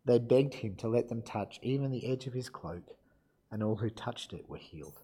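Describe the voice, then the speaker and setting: A man preaching a sermon and reading scripture aloud, his voice croaky from being unwell, relaxed and self-deprecating. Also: medium pitch, normal speed, slight background noise. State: croaky from illness.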